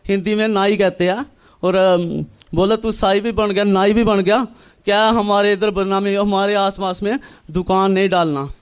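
A young man from northern India tells his religious testimony earnestly, in halting, repetitive phrases, acting out remembered dialogue. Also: high-pitched, steady tone, smooth. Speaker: church member giving testimony. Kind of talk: personal religious testimony, storytelling.

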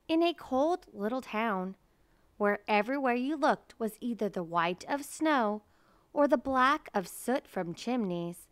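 A woman reads a children's story aloud at a slow, deliberate pace. Her voice is smooth and clear, recorded dry, in a measured storytelling style. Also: mid-range voice, no room sound. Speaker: storybook reader. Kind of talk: children's picture book read-aloud.

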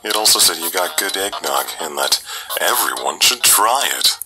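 A man's voice: deep voice, animated, moderate pace. A man in a comic dub voicing a nonchalant, wry skeleton monster with a scheming streak. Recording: music clearly behind the voice.

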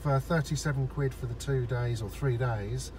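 Male vlogger, mid-pitched, relaxed chatty narration from the driver's seat, traffic noise clearly audible. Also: normal speed. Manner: chatty, explains each step to viewers. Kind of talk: casual narration while driving. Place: inside a car in traffic. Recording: in-car recording with road noise.

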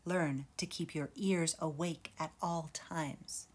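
A woman voicing a gentle, wise male wolf who teaches cubs, speaking slowly and often in a hushed whisper. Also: low-pitched, fairly even intonation, slightly rough, exaggerated voice. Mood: calm, hushed.

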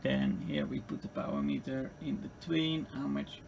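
Gravelly Dutch-accented man demonstrating equipment. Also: mid-range voice, big pitch swings. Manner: halting, hesitant phrasing with repeated words. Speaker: hobbyist demonstrator. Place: indoor electronics workbench. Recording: clearly noisy room recording.

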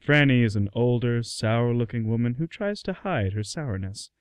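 Male narrator, scripted storytelling, very lively intonation, medium pitch, dry close recording. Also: normal speed, smooth, dry recording, faint music behind. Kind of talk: scripted radio play narration.

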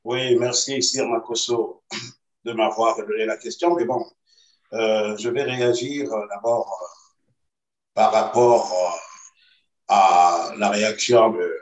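A man defending his party in a video-call debate, measured and full of hesitations. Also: on the low side. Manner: measured, hesitant with many fillers, insistent. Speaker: party activist guest. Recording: roomy echo, distant from mic.

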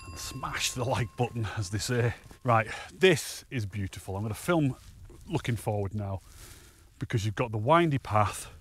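A man's voice: mid-range voice, slightly rough. A man, chatting cheerfully to camera while hiking on an open fell top, often laughing. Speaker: hiking vlogger. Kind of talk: casual walking commentary to camera.

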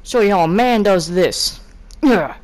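A woman's voice: mid-range voice, expressive, measured pace, a little mellow. A woman doing a playful, improvised voice-over of game animations in short, exclamatory catchphrases. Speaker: gaming video creator.

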